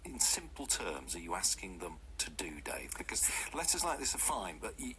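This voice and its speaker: A man's voice: low-pitched, big pitch swings, normal speed, sharp tone, slight background noise, poor audio. A man presenting a live radio show, interviewing guests conversationally in a studio. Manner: conversational, leading questions.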